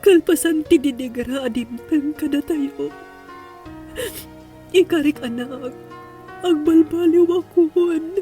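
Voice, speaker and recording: A woman's voice: high-pitched, mellow. A woman playing a remorseful, distraught mother in a melodramatic audio drama, tearful and pleading. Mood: remorseful, relieved. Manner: tearful, pleading, emotionally drawn-out. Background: music.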